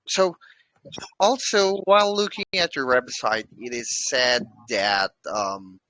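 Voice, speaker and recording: A man hosting an online interview, asking deliberate questions. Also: mid-range voice, sharp tone, no room sound, poor audio. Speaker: host.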